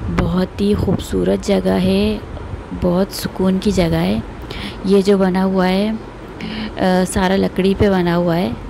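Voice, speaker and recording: Chatty woman with a gravelly, flat voice. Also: medium pitch, background noise. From Pakistan. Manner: chatty, recommending, pious phrases. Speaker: vlogger. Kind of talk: casual vlog narration.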